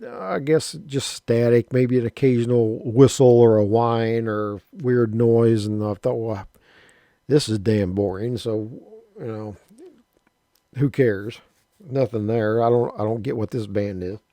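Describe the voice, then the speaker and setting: A man's voice: mid-range voice, steady tone, measured pace, dark tone, no room sound. A man in his fifties from Southern Illinois, telling a rambling, folksy story about his early radio hobby in a casual way. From Southern Illinois, USA. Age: 50s. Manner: rambling, folksy, with mild cursing and frequent restarts. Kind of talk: casual spoken reminiscence.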